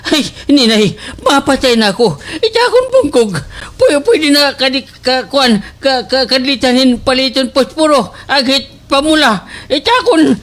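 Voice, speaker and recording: Shrill, whiny village dad. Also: male voice, animated, moderate pace, few pauses, a bit bright. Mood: panicked, pained. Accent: Filipino, Waray. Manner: stuttering, exaggerated, complaining. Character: whiny, suffering, foolish village father. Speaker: actor in a radio drama. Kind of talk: slapstick satirical radio comedy acting.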